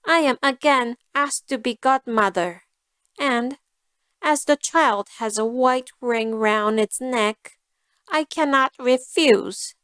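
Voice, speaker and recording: A woman's voice: sits high, slow pace, fairly bright tone, smooth, dry recording, studio quality. A woman voicing a sly, self-serving female cat in a storybook, with a cool, persuasive manner. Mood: sly, coaxing.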